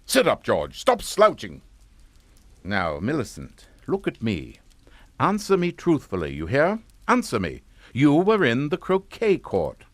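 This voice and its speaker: A middle-aged English father, head of a respectable household in a radio thriller. He is pompous and bossy, with a mid-pitched, very animated voice that snaps out orders in a dry studio sound. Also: a little slow, dry recording. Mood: commanding. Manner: pompous, scolding, emphatic. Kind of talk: radio suspense acting.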